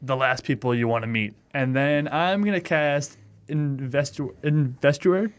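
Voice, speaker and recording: A man's voice: medium pitch, a little slow, smooth. A man chatting casually as a player in a tabletop role-playing game.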